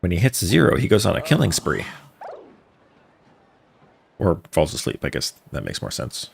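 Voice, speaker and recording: A man's voice: deep voice, wildly swooping pitch, normal speed, creaky, slightly rough. A man giving casual live-stream game commentary in wry, musing asides. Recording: game music behind the voice.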